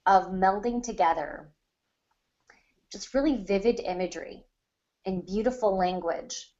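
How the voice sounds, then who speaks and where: An American woman from the Ozarks in a casual book discussion as a co-host, often pausing and rephrasing mid-thought. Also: mid-range voice, highs cut off. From the Ozarks, United States. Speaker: podcast co-host.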